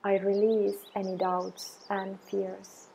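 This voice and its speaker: A woman guiding an affirmation meditation in a soothing, measured way, with long pauses between deliberate phrases. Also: mid-range voice, little pitch movement, gravelly, warm tone, a little hiss or hum. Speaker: meditation guide.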